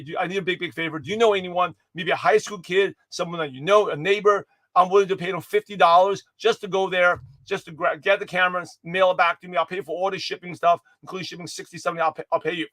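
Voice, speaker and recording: A man giving an energetic livestream pep talk to his viewers, his voice hoarse and slightly raspy, rambling with many restarts and self-corrections. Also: sits high, fast talker, hardly any pauses, dry recording. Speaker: motivational speaker giving a daily pep talk.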